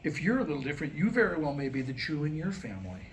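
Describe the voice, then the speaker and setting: An American man with a slightly high voice delivers a comic monologue to a live audience. He is wry and self-deprecating, setting up lines deliberately and pausing for effect. The live recording carries faint background noise. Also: lively intonation. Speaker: writer and performer reading his own new comic script. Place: live staged reading before a small audience. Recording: noisy live room recording.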